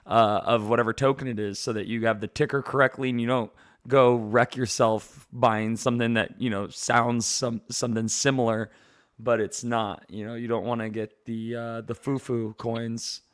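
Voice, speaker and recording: A man's voice: mid-range voice, a bit bright. A man co-hosting a crypto livestream, chatting casually with a rambling delivery full of fillers that often trails off. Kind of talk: casual chat.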